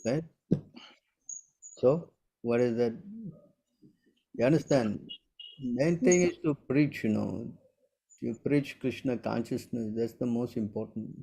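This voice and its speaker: A man's voice: mid-range voice, takes it slowly, gravelly, pauses often, warm tone, breathy edge, rough recording. A man in his sixties answering devotional questions in an informal online session, often checking whether listeners understand. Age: 60s. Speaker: spiritual teacher answering questions.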